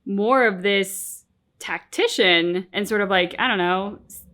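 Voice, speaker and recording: A woman's voice: mid-range voice, a little slow, fairly bright tone. Reflective woman in casual game commentary. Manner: reflective, analytical, informal. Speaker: reaction channel host.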